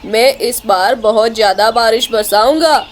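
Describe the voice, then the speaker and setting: A woman's voice: a little high, normal speed, slight background noise, natural voice. A woman voicing an emphatic talking character in a jungle cartoon story, speaking in exclamations. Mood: boastful. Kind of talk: Hindi cartoon story dialogue.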